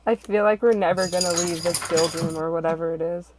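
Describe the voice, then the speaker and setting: A woman's voice: on the low side, steady tone, brisk, over a noisy crowd. A woman narrating a visual novel and chatting casually with a group.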